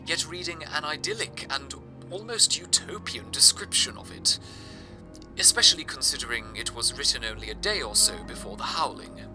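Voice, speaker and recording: A man's voice: medium pitch, close to an everyday voice. Male actor as earnest, theatrical old-time radio host, formal and loyal, very varied intonation, music underneath. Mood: hushed storytelling. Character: cheerful, loyal male radio broadcaster of a dystopian city. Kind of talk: scripted old-time radio broadcast narration. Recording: music playing behind the voice.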